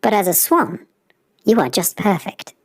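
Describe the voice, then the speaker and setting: A woman voicing a gentle, puzzled swan in a children's story, asking things politely and a little hesitantly. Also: medium pitch, animated, unhurried, husky, no room sound, clean studio sound. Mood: kindly. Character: graceful, kindly wild swan, puzzled and gentle.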